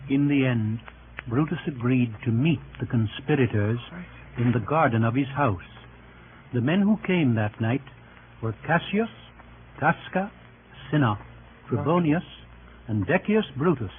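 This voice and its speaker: A man narrating Roman history for an old radio drama in a formal, deliberate manner with clipped phrases. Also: mid-range voice, lively intonation, natural voice. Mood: matter-of-fact. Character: sober male chronicler narrating Roman history. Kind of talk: scripted narration for 1930s-40s radio drama. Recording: noisy, narrow-band old radio recording.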